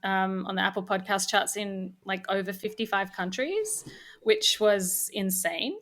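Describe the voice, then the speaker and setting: A woman's voice: medium pitch, a little slow, fairly bright tone. A woman talking casually as a podcast guest, upbeat and informal in how she explains things. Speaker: podcast guest, audio drama co-creator. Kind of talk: casual interview chat.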